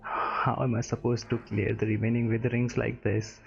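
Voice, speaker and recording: A man's voice: medium pitch, monotone, normal speed, creaky, highs cut off, slight background noise. A man doing casual live gaming commentary in short, muttered reactions. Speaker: gaming streamer reacting to a game. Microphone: webcam setup at a desk.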